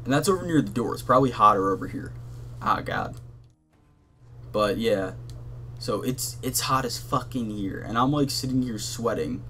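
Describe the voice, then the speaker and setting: A young man with a monotone voice, rambling casually with lots of fillers and swearing as the host of a gaming channel, talking from his bedroom. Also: mid-range voice, normal speed, slight background noise. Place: hot bedroom at his console.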